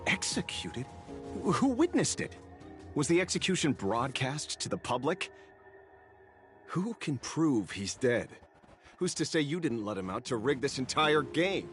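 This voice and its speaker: An actor playing a brooding, suspicious investigator in a video game, talking in a terse, gruff, confrontational way. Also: male voice, medium pitch, big pitch swings, a little slow, soft background music. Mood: angry, suspicious.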